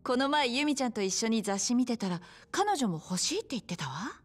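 Lively, coaxing bar hostess. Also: female voice, a little high, brisk, soft background music. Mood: coaxing, helpful. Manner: soft feminine phrasing, chatty and encouraging. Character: friendly, coaxing bar hostess, a familiar big-sister confidante. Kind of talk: video game cutscene acting.

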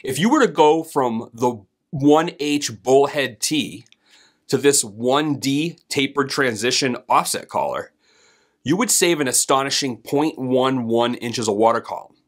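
This is a man in his thirties delivering a prepared instructional lesson while seated at a desk in a home office. His voice sits in a mid range, and he keeps a steady, deliberate pace throughout. He speaks straight to the camera as a trainer would. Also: medium pitch. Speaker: host and HVAC trainer. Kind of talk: scripted instructional explainer.

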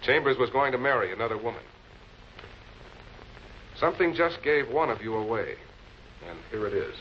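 A man's voice: medium pitch, expressive, a little hiss or hum, close to an everyday voice. Muffled old-film prosecutor, composed and commanding, played by a man. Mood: accusing. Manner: measured, commanding, deliberate. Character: composed, authoritative male public prosecutor cornering murder suspects. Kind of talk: 1940s television crime drama acting.

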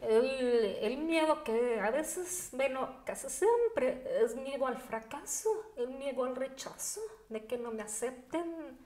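High-pitched Mexican woman in an echoey room. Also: expressive, slow pace, hardly any pauses, a little mellow. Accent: Mexican Spanish. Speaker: podcast guest. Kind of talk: conversational interview, personal storytelling. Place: indoor dining room. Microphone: podcast microphone on table stand.

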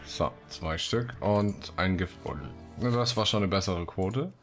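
A man with a deep voice gives relaxed, informal let's play commentary as host. He talks close to the microphone, with game music faintly behind him. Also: a little slow. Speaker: gaming video host. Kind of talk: casual let's play commentary, reading quests aloud.

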